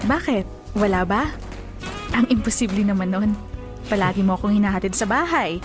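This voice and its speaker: Actress as a flirty Filipina gym trainer, music behind. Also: female voice, mid-range voice, expressive, moderate pace, close to an everyday voice. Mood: flirty, coaxing. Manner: coquettish, teasing. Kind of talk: Filipino radio drama acting.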